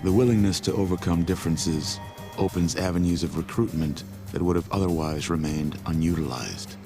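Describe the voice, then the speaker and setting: A solemn narrator for a post-apocalyptic video game epic. The voice is deep and grave, with a measured, portentous delivery. Music plays clearly beneath the scripted narration. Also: male voice. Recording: music under the voice.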